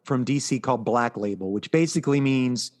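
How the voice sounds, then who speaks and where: Man chatting casually, mid-pitched voice. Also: brisk. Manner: conversational, explanatory, informal. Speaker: podcast co-host. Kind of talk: casual chat, recapping comic books.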